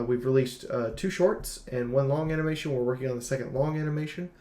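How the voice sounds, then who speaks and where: A man giving casual, unscripted game commentary as a reviewer, rambling with animated asides and slang. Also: mid-range voice. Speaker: video game reviewer and streamer. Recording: echoey room.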